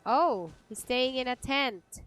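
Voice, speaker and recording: A woman's voice: high-pitched, slow, a bit bright, clear, resonant tone, poor audio. A woman with a Filipino accent giving casual livestream commentary while she plays a video game. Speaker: game streamer. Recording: game music behind the voice.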